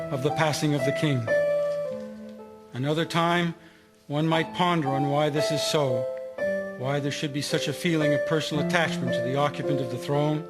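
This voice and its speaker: A man's voice: on the high side, close to an everyday voice. A man playing a grave, reflective newspaper editor in a radio drama, solemn and literary in manner. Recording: loud guitar music under voice.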